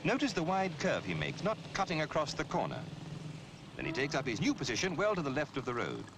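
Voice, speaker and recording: Male narrator for a vintage road safety film. Instructive, highly varied intonation, mid-range voice, very noisy old soundtrack with traffic. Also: wildly swooping pitch, a little fast. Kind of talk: scripted public information film narration.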